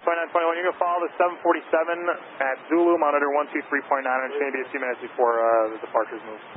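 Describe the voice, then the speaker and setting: A male air traffic controller talks quickly in plain, explanatory radio calls. His voice comes through a thin, narrow channel with clear static and noise around it. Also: a little high, steady tone. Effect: aviation radio. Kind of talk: live radio traffic control instructions and updates.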